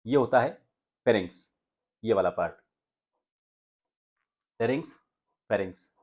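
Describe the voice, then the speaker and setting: शांत आवाज़ में समझाता हुआ आदमी. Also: male voice, mid-range voice, darkish tone. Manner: explanatory, demonstrates humming sounds. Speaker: voice coach and anchor. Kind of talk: voice training lesson.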